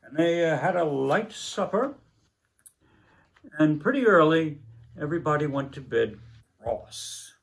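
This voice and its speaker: An older man in his seventies reading a story aloud in a halting manner, pausing between phrases. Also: a little high, lively intonation, vocal fry. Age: older, about 70s. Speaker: story reader. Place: indoors, book-lined room at a table.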